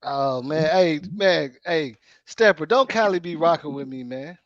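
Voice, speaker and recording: A man's voice: a little high, animated, measured pace, no room sound, dull audio. A man born in Arkansas with a Southern accent, hosting a casual live-stream chat and often repeating his words. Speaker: host.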